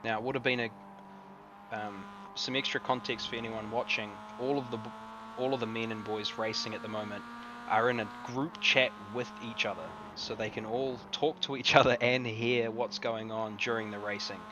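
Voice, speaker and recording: Relaxed New Zealand race commentator, distant mic. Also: male voice, mid-range voice, normal speed. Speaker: sim racing commentator. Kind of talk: casual live race commentary. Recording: distant mic with noise and hum.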